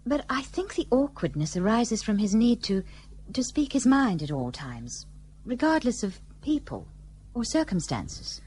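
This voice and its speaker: A genteel colonial wife, expressive. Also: female voice, a little high, measured pace, a little hiss or hum. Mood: thoughtful. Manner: genteel, considered, earnest. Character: perceptive, polite British colonial wife. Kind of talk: period radio play acting.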